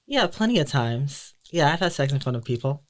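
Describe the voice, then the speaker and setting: A man on a podcast panel, telling candid stories in a relaxed, chatty way with frequent laughter. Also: a little high, brisk. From Detroit area. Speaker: podcast guest. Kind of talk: casual chat.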